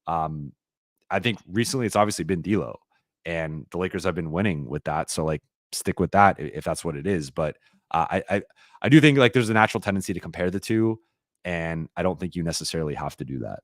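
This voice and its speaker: A man hosting a live-stream Q&A, talking casually and rambling with lots of fillers and restarts, his voice a little raspy. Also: low voice, expressive, brisk, no room sound, clean studio sound. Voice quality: slightly raspy voice.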